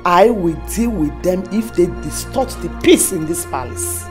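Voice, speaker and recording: A calm scheming royal mother. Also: lowish voice, a little slow, soft background music. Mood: taunting. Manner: calm, taunting, proverb-quoting. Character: cool scheming royal mother defending her son.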